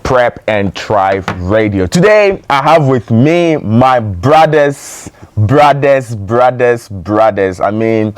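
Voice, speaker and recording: A man's voice: mid-range voice, lively intonation, takes it slowly, few pauses, no echo. Male podcast host, Ghanaian accent, emphatic and conversational, recorded dry with little room sound.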